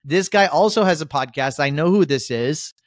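A man hosting a casual podcast, upbeat and rambling, with lots of shout-outs. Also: on the high side, lively intonation, normal speed, no echo, studio quality. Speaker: podcast host.

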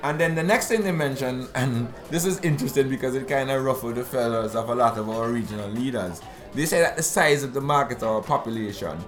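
A man's voice: mid-range voice, brisk, a bit bright, soft background music. A man from the Eastern Caribbean co-hosting a talk show, explaining finance news in a conversational way with frequent restarts. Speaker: podcast co-host. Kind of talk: conversational analysis.

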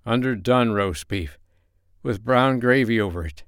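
A man voicing a loyal, earnest dog with a gruff, mumbling growl in a children's story. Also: medium pitch, animated, measured pace, a bit bright, no echo, studio quality. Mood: drowsy.

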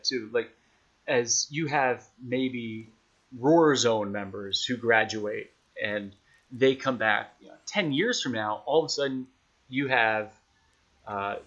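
A man's voice: mid-range voice, fairly bright tone. Man in casual interview, dull audio. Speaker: radio play-by-play announcer, podcast guest. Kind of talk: casual conversational interview answers.

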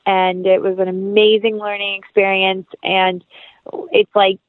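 Casting: phone-quality female guest, medium-pitched, conversational and sincere with an upbeat manner, no room echo. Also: flat delivery, measured pace. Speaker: interview guest, actress and producer. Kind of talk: casual conversational interview. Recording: tinny phone-like line.

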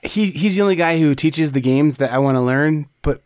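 A man's voice: on the high side, a little fast, darkish tone, no room sound, clean studio sound. A man co-hosting a podcast, chatting casually and rambling with frequent restarts. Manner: rambling, self-correcting, chatty. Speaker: podcast co-host. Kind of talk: casual chat.

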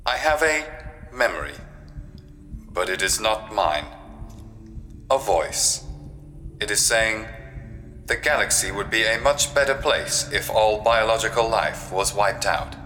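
An actor playing a cold, literal, resentful spaceship computer in a science fiction audio drama, with stilted, formal speech. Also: male voice, fairly low pitch, lively intonation, unhurried, pauses often, bright, forceful tone, soft background music. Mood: puzzled, cold. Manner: stilted, formal, refers to himself in third person. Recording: long reverb, faint noise.

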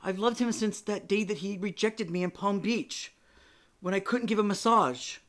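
A man's voice: high-pitched, moderate pace, a bit bright, pitched above the performer's own voice. A man voicing an obsessive, anxious young woman patient who pleads in breathless outbursts. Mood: pleading.

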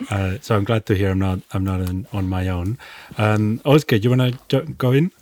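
A man's voice: lowish voice, normal speed, a little mellow, a little hiss or hum. A man hosting a podcast casually, often restarting and repeating words. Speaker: podcast host.

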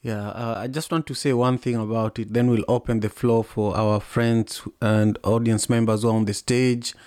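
A man with an East African accent, whose first language is Luo, hosts a thoughtful conversation. His voice sits at a medium pitch and is recorded dry, with no room sound. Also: flat delivery, moderate pace, a little mellow, no room sound. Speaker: host of an online audio discussion. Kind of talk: conversational, reflective talk.

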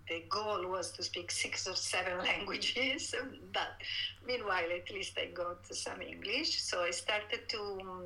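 Bright-voiced Italian-accented woman author. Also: fairly low pitch, low-quality recording. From Italy. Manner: laughs often while talking, frequent fillers and restarts. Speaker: author guest. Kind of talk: interview conversation.

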